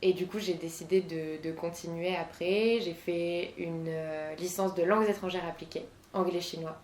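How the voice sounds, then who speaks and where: A woman's voice: fairly low pitch, fairly even intonation, moderate pace, darkish tone, roomy sound, a little hiss or hum. A woman in her twenties from southern France, answering interview questions as a guest with many hesitations. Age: 20s.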